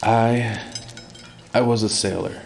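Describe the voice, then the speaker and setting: A man playing a cold, reserved tall elf in a fantasy audio drama, speaking in a hushed voice. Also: lowish voice, fairly even intonation, takes it slowly, vocal fry, soft background music. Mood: cool, weary.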